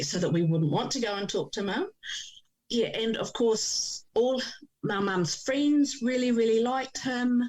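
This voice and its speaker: A woman in her fifties with a New Zealand accent, telling her personal story as a podcast guest, speaking in a measured way with pauses and ums. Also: mid-range voice, darkish tone, poor audio. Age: 50s. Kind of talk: personal testimony interview.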